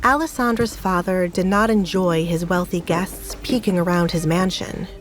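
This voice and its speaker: A woman's voice: fairly low pitch, steady tone, moderate pace, soft background music. A woman giving scripted, dramatic story narration as the narrator of an audio drama.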